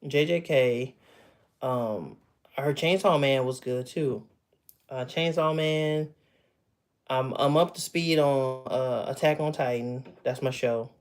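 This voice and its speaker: A man's voice: medium pitch, slow pace. A man in his thirties chatting casually with viewers on a livestream from home, loose and chatty, often laughing and trailing off mid-thought. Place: at home with memorabilia behind him.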